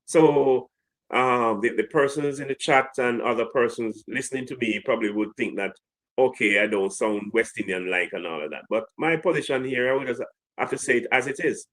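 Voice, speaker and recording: A man with a Caribbean English accent, a co-host analysing sport in a halting, careful way. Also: mid-range voice, fairly bright tone, rough recording. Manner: halting, many fillers and restarts.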